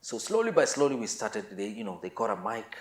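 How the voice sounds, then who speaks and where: A man with a Kenyan accent and a fairly low voice tells his story in a casual, conversational way. He starts and restarts phrases and mixes in some Swahili. The sound is clearly roomy and echoing. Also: very animated. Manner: halting, repetitive, mixes in Swahili words. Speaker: musician, interview guest.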